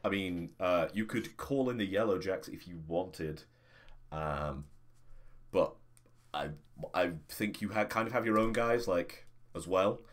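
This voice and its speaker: A man's voice: sits low, unhurried. A man speaking as game master, improvising casually in loose, informal, conversational phrasing.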